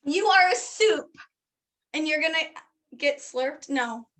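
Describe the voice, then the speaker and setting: High-voiced giggly woman. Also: takes it slowly. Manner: giggly, expressive storyteller. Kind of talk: casual chat.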